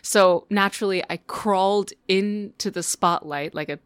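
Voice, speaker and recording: A young adult woman, a musical theatre performer, reading her own personal story in an animated, self-deprecating way. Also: medium pitch, measured pace, clean tone, no room sound.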